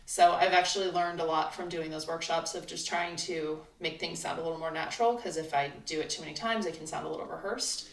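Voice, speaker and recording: A woman chatting casually as a guest, telling stories in an animated way and quoting people as she goes. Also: low voice, a little fast, creaky, hardly any pauses. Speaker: voice actress, interview guest. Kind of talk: casual chat. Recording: echoey room, distant from mic.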